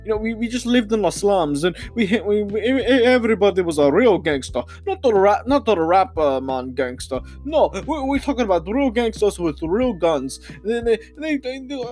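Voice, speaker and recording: A man's voice: sits high, sing-song, moderate pace, few pauses, darkish tone, soft background music. A man voicing a stammering male warehouse supervisor with a put-on Trinidadian accent, who repeats words and ends lines with "man". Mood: indignant. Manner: stammering, touchy and indignant. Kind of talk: improvised comedy podcast character.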